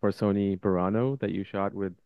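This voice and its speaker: A man's voice: lowish voice, fairly even intonation, brisk, dry recording, narrow, tinny audio. A man hosting a podcast, chatting casually in an informal, effusive, loosely phrased way. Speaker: podcast host. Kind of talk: casual interview chat.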